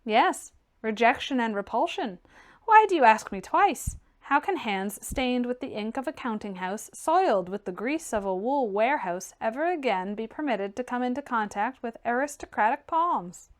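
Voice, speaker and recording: A woman voicing a proud, stubborn young gentleman clerk, curt and formally sardonic, in an audiobook reading. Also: a little high, expressive, moderate pace, close to an everyday voice.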